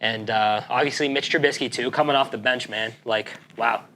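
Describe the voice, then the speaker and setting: A man's voice: medium pitch, moderate pace, sharp tone, slight background noise. A young man hosting a casual sports chat, breezy and joking, rambling between topics. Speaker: host.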